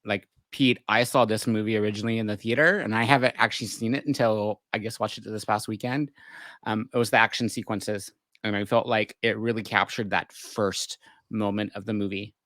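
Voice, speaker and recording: A man giving relaxed, hesitant opinions full of fillers as a podcast panelist. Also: fairly low pitch, brisk, no echo. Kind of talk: casual podcast chat.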